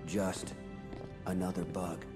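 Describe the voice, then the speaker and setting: An actor playing a resolute young warrior in a video game, speaking in a terse, understated way. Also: male voice, low-pitched, level tone, slow, music in the background. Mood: cool resolve. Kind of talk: video game cutscene acting.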